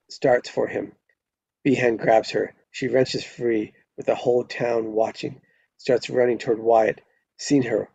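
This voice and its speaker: A man's voice: medium pitch, gravelly, a little mellow, husky, dry recording, muffled recording. A man narrating a screenplay at a live table read, stumbling over words now and then and correcting himself.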